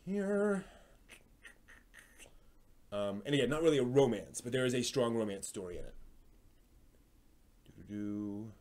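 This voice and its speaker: A man hosting a live stream, chatting casually and giving recommendations in a halting way, often thinking aloud. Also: mid-range voice, sing-song, a little mellow. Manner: halting, thinks aloud, hums filler syllables while searching.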